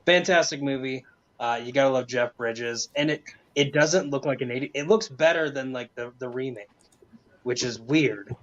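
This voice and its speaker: A man's voice: medium pitch, moderate pace. A man giving his take as a panelist in casual, enthusiastic chat. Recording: dull lo-fi mic sound.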